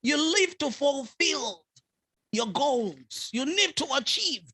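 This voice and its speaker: A man's voice: extremely high pitch, expressive, takes it slowly, bright, forceful tone. A man preaching a sermon as a bishop, driving his points with repeated phrases and rising fervour.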